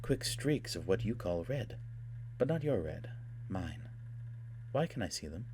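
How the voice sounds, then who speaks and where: A man voicing a haughty, rapturous young noblewoman in an audiobook, with a soft, husky voice and a languid, taunting delivery. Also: low-pitched, very animated, a little hiss or hum. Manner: theatrical, languid, taunting, with drawn-out words.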